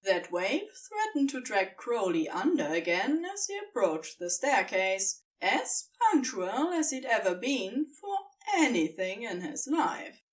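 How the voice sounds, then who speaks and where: A woman with a highish, very animated voice reads fiction as an audiobook narrator. She phrases things dramatically and deliberately, pausing for emphasis. She sounds a little way from the microphone. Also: distant mic. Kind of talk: expressive fan fiction narration.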